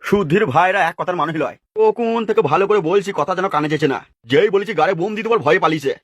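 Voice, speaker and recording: A man's voice: high voice, very fast, soft background music, close to an everyday voice. A man playing a brash, irritable small-time gangster in an amateur cartoon dub. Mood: smug. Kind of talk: amateur Bengali cartoon dubbing.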